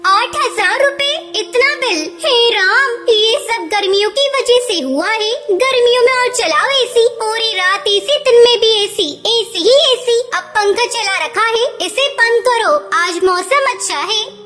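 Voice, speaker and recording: A woman's voice: very high voice, measured pace. A woman voicing a miserly, cunning, bossy mother-in-law bird in a cartoon, with a scolding, haggling, emphatic delivery. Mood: alarmed, grumbling. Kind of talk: Hindi cartoon voice acting.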